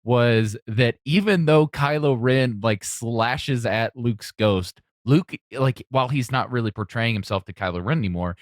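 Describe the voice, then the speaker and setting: A man's voice: medium pitch, unhurried, hardly any pauses, no room sound, studio quality. A man hosting a podcast, interviewing his guests in a conversational way. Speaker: podcast host.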